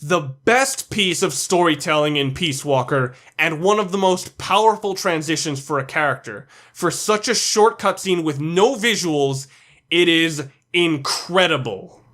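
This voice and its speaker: A man with a slightly high voice narrates a countdown video. His delivery is scripted commentary, set out item by item as a video essayist. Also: unhurried, fairly bright tone.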